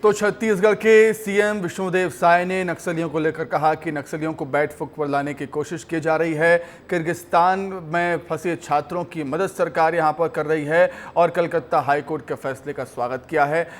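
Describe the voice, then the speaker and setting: A man's voice: fairly high pitch, steady tone, normal speed, few pauses. A man reading television news as an anchor. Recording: music bed under the voice. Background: news music.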